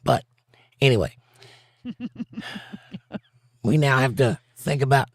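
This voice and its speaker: Male stream host, a bit hoarse, jokey chat reader, dry close sound. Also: mid-range voice, slow pace, no echo. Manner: jokey, reads viewer comments aloud, breaks into silly bits. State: slightly hoarse and scratchy. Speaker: livestream host reading chat. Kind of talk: casual livestream chat.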